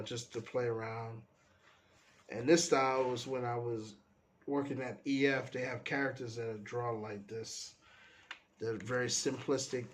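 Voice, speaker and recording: Stuffy-nosed male artist, mid-pitched, loose rambling sketchbook walkthrough with a faint hum behind. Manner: relaxed, meandering, trails off often. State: stuffy from a cold. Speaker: artist flipping through his sketchbook. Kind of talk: casual rambling commentary.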